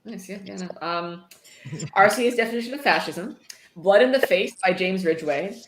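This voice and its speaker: A woman's voice: medium pitch, moderate pace. A woman with a dry, sarcastic manner, reading a book aloud and adding casual commentary. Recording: roomy echo, faint noise.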